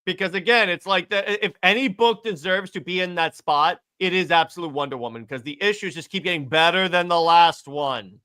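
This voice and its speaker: A man's voice: sits high, a little fast, hardly any pauses, sharp tone, clear, resonant tone. A man co-hosting a fan podcast, casually reading out and answering viewer questions with enthusiastic opinions. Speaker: podcast co-host.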